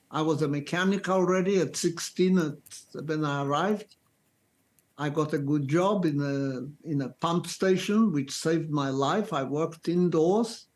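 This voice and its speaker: Guest, very old man, slow, deliberate testimony with restarts. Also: fairly high pitch. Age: 90s. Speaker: Holocaust survivor guest. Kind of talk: interview, personal testimony.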